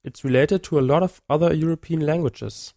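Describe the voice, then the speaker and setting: A man works as an interviewer and presenter. His voice sits a little high and is recorded fairly dry, with a conversational delivery. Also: brisk, vocal fry, dark tone, no echo. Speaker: journalist, host of a programme.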